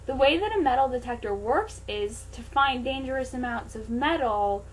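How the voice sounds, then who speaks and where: Woman, high voice, hosting a mock-dramatic story reading; theatrical delivery, sarcastic commentary. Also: expressive, moderate pace, a little hiss or hum, low-quality recording. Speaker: host reading fan fiction aloud. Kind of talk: dramatic comic reading with mocking asides.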